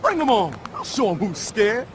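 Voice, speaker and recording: Animated cocky young fish hustler. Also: male voice, high-pitched, normal speed, a little hiss or hum. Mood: boastful. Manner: boastful, slangy, animated.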